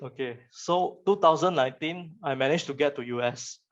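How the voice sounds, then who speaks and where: A young man with a Malaysian accent and Chinese as his first language gives an informal talk to students as a guest speaker, in a halting, colloquial way that often repeats phrases. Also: on the high side. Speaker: guest speaker, photographer and digital artist. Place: online virtual session. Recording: muffled, lo-fi online call audio.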